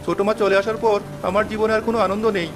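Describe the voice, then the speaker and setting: A woman's voice: medium pitch, steady tone, very fast, natural voice. A woman voicing a sad, humble young village boy in a children's fairy-tale cartoon, earnest and pleading. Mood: sorrowful. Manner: plaintive, pleading. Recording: music under the voice.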